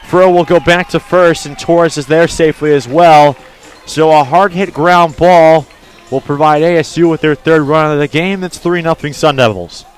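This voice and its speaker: Male radio play-by-play announcer, slightly high register, excitable on key calls. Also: moderate pace, smooth. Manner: excited calls on big plays. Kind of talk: live radio sports commentary.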